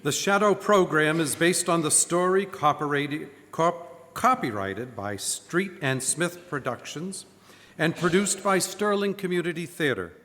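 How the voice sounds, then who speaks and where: A man's voice: fairly high pitch, expressive, measured pace, sharp tone. A man announcing closing credits for a live radio drama, reading to an audience in a hall. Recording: reverberant church hall, noise, audience crowd.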